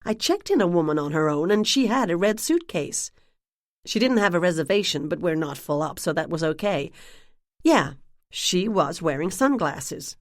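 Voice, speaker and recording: A woman's voice: fairly low pitch, sing-song, normal speed, no echo, studio quality. A woman voicing a gangly teenage desk clerk. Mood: helpful. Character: young male hotel desk clerk, gangly teen, eager.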